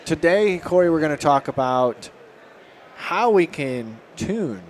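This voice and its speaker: A man's voice: a little high, very animated, takes it slowly. A man hosting a farm podcast, introducing a guest in an upbeat, conversational way. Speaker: podcast host. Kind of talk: casual podcast interview.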